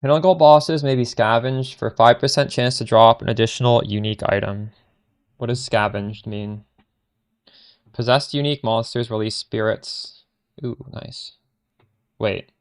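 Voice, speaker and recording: Man, Canadian accent, mid-pitched, gravelly fry, chatty game streamer. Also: unhurried. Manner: chatty, answers chat questions while playing, trails off mid-sentence. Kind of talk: casual live-stream chat with viewers.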